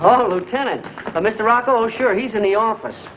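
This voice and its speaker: An actor playing a brusque, bossy gangster's underling, curt and impatient, in 1950s television comedy style. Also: male voice, high voice, fairly quick, lightly acted. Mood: ingratiating. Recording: very noisy, dull old television soundtrack.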